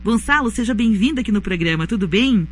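A woman's voice: medium pitch, expressive, quick pace, a little hiss or hum. A woman hosting a live radio interview, conversational, often tagging her sentences with a questioning "né". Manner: warm conversational with frequent "né?" tags. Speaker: radio host.

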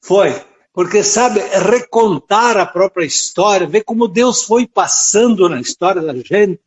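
An elderly man with a southern Brazilian accent speaks as a priest being interviewed. His voice is notably high for a man, and he reflects devoutly, often repeating words as he goes. The audio is slightly dull and lo-fi. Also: unhurried, slightly rough. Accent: southern Brazilian, Rio Grande do Sul. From rural southern Brazil. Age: late 70s. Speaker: priest and friar, interview guest. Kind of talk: reflective personal storytelling in a radio interview.

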